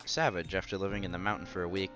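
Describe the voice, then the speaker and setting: Male game streamer, lowish voice, narrating a visual novel with offhand conversational asides, music playing behind. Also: little pitch movement, rapid-fire. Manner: breaks off to react conversationally. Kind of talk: dramatic reading with casual asides. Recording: music under the voice. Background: background game music.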